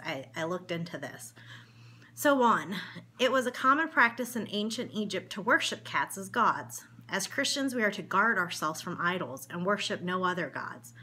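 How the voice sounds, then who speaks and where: Mother with a cold, bright voice. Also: mid-range voice, slightly rough. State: has a cold. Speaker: mother speaking to her daughter. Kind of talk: personal video message, reading an article aloud. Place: home room in front of window blinds.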